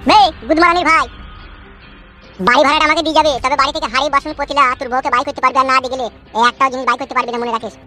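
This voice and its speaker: A man's voice: very high voice, steady tone, racing, bright, forceful tone, soft background music, exaggerated voice. A man voicing a spiteful, bullying young village landlord in a cartoon comedy, coarse and demanding. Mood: threatening.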